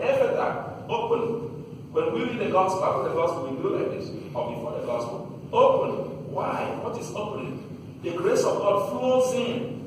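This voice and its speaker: Nigerian priest preaching in an echoey church. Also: male voice, fairly high pitch, noisy background. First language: Igbo. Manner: rising to loud emphatic repetitions. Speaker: chaplain and priest. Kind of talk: homily at Mass. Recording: distant mic, echoey church with long reverb.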